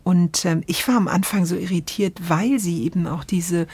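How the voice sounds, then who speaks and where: A woman co-hosting a podcast, discussing radio drama reflectively in expressive, searching phrases with drawn-out emphasis. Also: lowish voice, slightly rough, no room sound. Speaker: podcast co-host.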